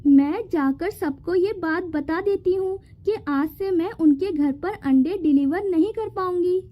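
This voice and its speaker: Caring big-sister heroine for a fairy-tale cartoon played by a woman, very high voice, earnest, slightly dull sound. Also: unhurried. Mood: worried, sad. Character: kind young working woman, caring elder sister. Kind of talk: Hindi animated fairy-tale dialogue.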